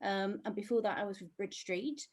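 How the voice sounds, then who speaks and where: A woman's voice: mid-range voice, moderate pace, darkish tone. A woman, a sales executive, introducing herself upbeat on a webinar panel with frequent filler ums.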